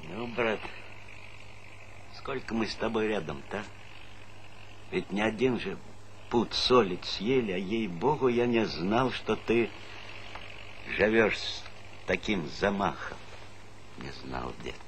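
An actor playing a middle-aged, fatherly village leader in a stage play, reflective and weary, talking in measured, plain phrases. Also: fairly low pitch, lively intonation. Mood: moved, surprised. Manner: measured, pausing, plain-spoken. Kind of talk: theatrical stage-play dialogue. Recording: noisy vintage stage play recording.

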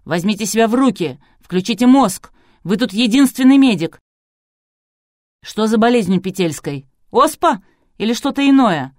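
A woman's voice: a little high, big pitch swings, normal speed, no echo, pitched above the performer's own voice. A domineering man who runs a TV show, played by a woman narrator in a storybook reading. He is brusque and demanding, barking his words with force in a very dry recording. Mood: barking orders. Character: brusque, domineering male TV producer, angry and commanding.

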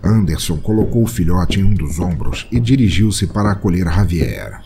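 A man's voice: deep voice, big pitch swings, moderate pace, vocal fry, mellow, slight background noise. A man delivering scripted narration as the storyteller of an audio drama.

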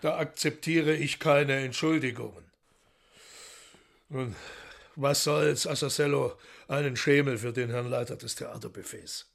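An urbane foreign magician in a radio drama, with a creaky, gravelly edge to his voice. He speaks with grand courtesy and lordly, theatrical indignation. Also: medium pitch, fairly even intonation, a little slow.

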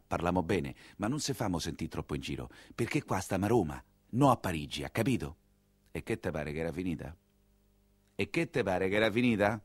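A man's voice: low voice, no room sound. An actor playing an earthy, talkative 19th-century coachman with a Roman accent, telling his tale in a colloquial theatrical dialect monologue, exclaiming and confiding. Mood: wary, confiding. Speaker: stage and radio actor.

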